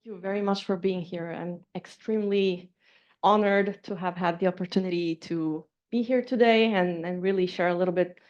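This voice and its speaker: A woman from Costa Rica giving an academic seminar talk, earnest and halting, with many fillers and restarts. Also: on the low side, steady tone, a little mellow. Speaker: seminar speaker. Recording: dull lo-fi lecture recording.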